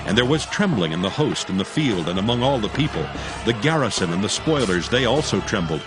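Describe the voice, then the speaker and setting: Low-pitched male narrator over music. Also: very animated, a little fast. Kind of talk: scripted dramatic Bible narration.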